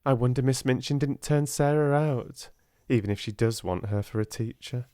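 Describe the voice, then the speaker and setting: A man's voice: mid-range voice, normal speed, mellow, smooth, no echo, studio quality, pitched above the performer's own voice, natural voice. A man with a British accent voicing a priggish, spiteful schoolgirl in an audiobook, snappish and self-righteous. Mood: disdainful.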